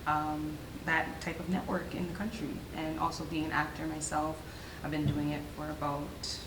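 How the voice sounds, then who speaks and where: A woman guest chats playfully, giggling and restarting her sentences. Her voice sits low and sounds set back from the mic. Echo from the room and a clear hum surround her. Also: little pitch movement, normal speed, recorded from a distance. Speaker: guest, actress. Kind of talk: casual interview chat. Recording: echoey room, off-mic, humming.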